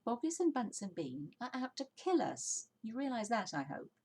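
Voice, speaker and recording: A woman's voice: fairly high pitch, sing-song, moderate pace, darkish tone, husky, voice pushed up, exaggerated voice. A woman voicing a confident, bossy, jolly father fox in a children's storybook, exclaiming and giving orders. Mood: indignant, persuasive. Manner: exclamatory, commanding, persuasive. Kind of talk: children's storybook character reading.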